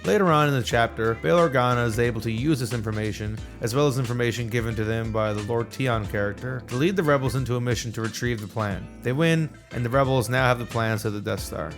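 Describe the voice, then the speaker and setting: Guy doing video essay narration, talks fast, music going underneath. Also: mid-range voice. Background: background music.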